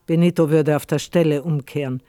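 Dry, slightly high-voiced male narrator. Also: steady tone, brisk, dark tone, no echo. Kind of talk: scripted literary radio play narration.

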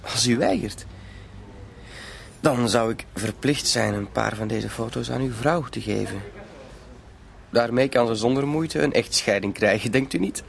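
A man's voice: medium pitch, a little slow, vocal fry, pauses often, a little hiss or hum. A man playing a self-assured blackmailer in a radio drama, with a mock-polite, insinuating way of talking. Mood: cool, threatening.

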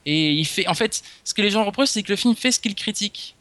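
A man's voice: sits high, lively intonation, fast, a bit bright, no room sound. A man from France co-hosting a casual film review chat, arguing his views at length with frequent fillers.

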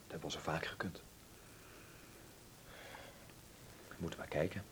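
A man's voice: low-pitched, fairly even intonation, very fast, slight background noise, close to an everyday voice. An actor playing an earnest young son in a wartime radio play, arguing persuasively with his family. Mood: uneasy, resigned.

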